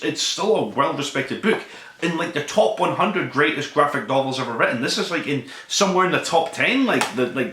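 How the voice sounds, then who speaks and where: A man hosting a solo podcast book review, speaking conversationally in an earnest, emphatic way with dramatic pauses. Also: medium pitch, bright, forceful tone. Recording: roomy, echoing room sound.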